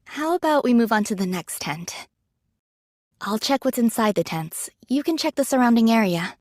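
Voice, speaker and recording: A woman's voice: a little high, animated, normal speed, a little mellow, no echo, clean studio sound, lightly acted. An actress playing a modest, pragmatic young female android soldier in an anime game, talking in a casual, thoughtful, matter-of-fact way. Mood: gentle, earnest.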